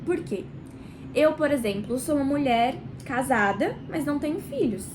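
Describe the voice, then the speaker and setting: Congested Brazilian woman, high-pitched. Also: lively intonation, noisy background. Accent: Brazilian Portuguese. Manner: warm, chatty, addresses viewer directly with pauses. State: nasal and congested from a cold. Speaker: book club host. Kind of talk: casual devotional reading vlog. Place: small home kitchen.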